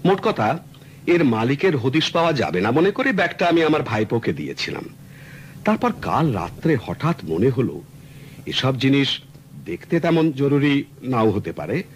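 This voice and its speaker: An actor playing a polite, earnest middle-aged client in a radio drama, explaining his story courteously and at length. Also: a little high. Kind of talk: 1970s radio drama acting. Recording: old radio recording with faint hiss.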